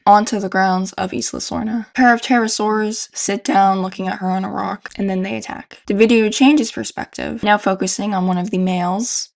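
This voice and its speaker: A woman's voice: medium pitch, vocal fry. A young adult woman giving casual, informal, slangy video commentary as a host. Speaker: YouTube horror video host.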